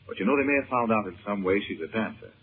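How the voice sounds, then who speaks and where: An actor playing a grave, protective uncle in a 1930s radio serial, speaking in a measured, earnest way. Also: male voice, on the low side, expressive, husky. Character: troubled, grave uncle who works magic, protective and subdued. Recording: muffled, tinny 1930s radio recording.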